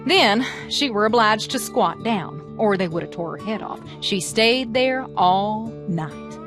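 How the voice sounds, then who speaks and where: Woman, storytelling narration, very lively swooping pitch, theatrical emphasis, music behind. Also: unhurried. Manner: expressive, theatrical, emphatic phrasing. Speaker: storyteller and presenter. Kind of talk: animated storytelling narration of American folklore.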